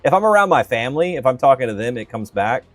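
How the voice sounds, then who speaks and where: A man's voice: mid-range voice, very animated, quick pace, no echo. Streamer, male, Southern-raised but neutral-sounding, rambling and casual. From Southern United States. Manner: rambling and chatty, with many fillers. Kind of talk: casual gameplay commentary. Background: faint game music.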